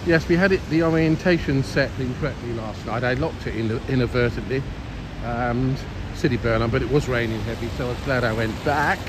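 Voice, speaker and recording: Clear street and traffic noise surrounds an older man talking outdoors. He has a mid-pitched voice and chats casually to his viewers, rambling along as he walks through a busy city. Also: fairly quick, few pauses. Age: older, late sixties or above. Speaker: live-streaming city walk vlogger. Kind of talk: casual rambling chat to viewers while walking. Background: urban street ambience, vehicles.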